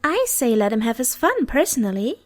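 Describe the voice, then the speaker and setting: A woman's voice: on the high side, very animated, no echo, soft background music. A woman acting a composed, reasoning goddess of life and death in a fantasy audio drama, articulate and challenging. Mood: firm, composed. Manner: articulate, challenging, measured wording.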